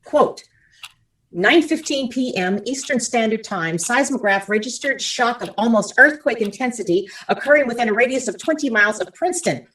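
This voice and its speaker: A woman's voice: a little high, lively intonation, normal speed, a bit bright, low-quality recording. A woman playing an earnest radio commentator, reporting and interviewing in a formal old-time radio drama style. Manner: formal, clearly phrased, attentive questioning.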